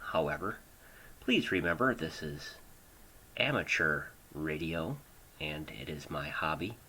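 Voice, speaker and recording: An American man hosting an amateur radio show, narrating in deliberate, drawn-out phrases with pauses, recorded at home on a hobbyist microphone. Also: very deep voice, expressive, bright, forceful tone, a little hiss or hum. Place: home studio. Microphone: older hobbyist microphone.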